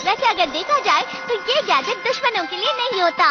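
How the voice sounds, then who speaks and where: A woman's voice: very high-pitched, expressive, brisk. A woman voicing a caring, sensible male robot companion in a cartoon dub, childlike and earnest as he explains things. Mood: explaining. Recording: dull lo-fi audio under loud music.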